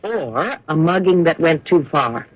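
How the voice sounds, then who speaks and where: Lively, higher-voiced detective. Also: male voice, unhurried. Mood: businesslike. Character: brisk, speculating police detective on a case. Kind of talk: old-time radio crime drama acting. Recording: dull vintage radio recording.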